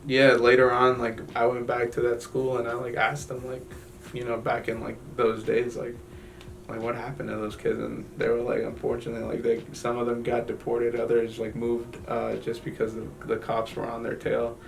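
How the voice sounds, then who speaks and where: A young man from Guatemala telling his family's story in an interview, halting, with many fillers and restarts. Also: mid-range voice, completely flat delivery, creaky, recorded from a distance, low-quality recording. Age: young adult.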